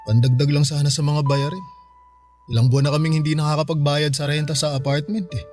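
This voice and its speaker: Actor as strained Filipino husband, slightly high. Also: male voice, steady tone, quick pace, darkish tone, soft background music, natural voice. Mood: sheepish, grateful. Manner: earnest, strained, hesitant when asking. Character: Marlon, beleaguered husband and uncle short of money. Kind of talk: Filipino radio drama acting.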